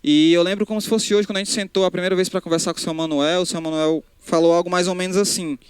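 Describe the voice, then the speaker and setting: Young preacher, higher-pitched voice, smooth and clear, dry close mic, speaks conversationally to a live crowd. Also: male voice, fairly even intonation, quick pace, dry recording. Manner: conversational, addresses crowd directly, frequent restarts. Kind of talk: casual live sermon. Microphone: handheld microphone.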